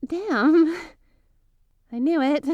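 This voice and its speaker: Woman acting a high-voiced, eager magical girl mentor. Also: drawn-out words, no room sound, muffled recording. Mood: flustered, playful. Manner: chatty, eager, teacherly, addresses listener directly. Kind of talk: ASMR roleplay, scripted character acting.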